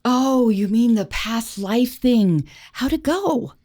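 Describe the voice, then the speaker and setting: A woman acting as a playful, flirty newsstand owner in a radio drama, teasing and expressive. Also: a little high, slow pace.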